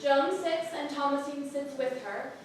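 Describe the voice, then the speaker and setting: A woman's voice: high-pitched, fairly even intonation, measured pace. A woman narrator reading stage directions as scripted narration in a theatre. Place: theatre with an audience. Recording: very echoey, distant, audience noise behind.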